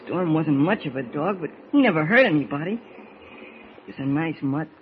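Teenage boy, acted by a man, high voice, earnest, excitable, 1940s radio acting, tinny noisy recording. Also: natural voice. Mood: sad. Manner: breathless, halting, earnest.

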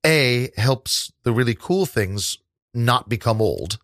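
A man's voice: mid-range voice, animated, slow pace, fairly bright tone, no room sound, clean studio sound. A man, a guest in an interview, speaking thoughtfully and rambling with many fillers. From New York. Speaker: interview guest, New York tour guide. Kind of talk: casual interview conversation.

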